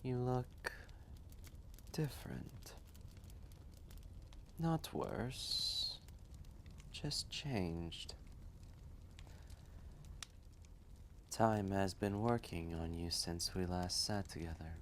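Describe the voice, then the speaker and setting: A man voicing an ancient, gentle, wise male dragon in a roleplay story, speaking in a hushed, measured, often breathy voice with a kindly manner. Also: a little hiss or hum. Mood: tender, reflective. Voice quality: low, gravelly, often breathy and whispery.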